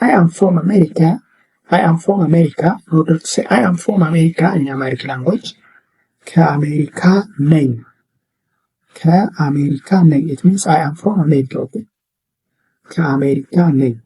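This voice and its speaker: A man teaching a beginner language lesson, repeating words slowly and checking in often with "okay?". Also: fairly high pitch, dark tone, slightly rough, low-quality recording. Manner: repetitive, checks in with "okay?" and "what?". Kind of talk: classroom-style language lesson.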